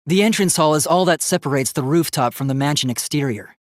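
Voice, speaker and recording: A man's voice: fairly high pitch, brisk, dry recording, studio quality, close to an everyday voice. A man voicing an earnest, logical young male robot student in a video game, speaking in a formal, precise, analytical way. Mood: analytical, serious. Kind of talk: English video game voice acting.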